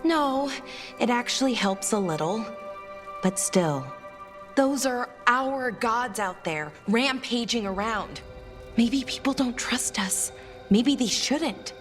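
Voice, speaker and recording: An actress playing an earnest, anxious female elf in a video game, halting and self-doubting. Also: a little high, expressive. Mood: troubled. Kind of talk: video game dialogue acting. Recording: long reverb, music underneath.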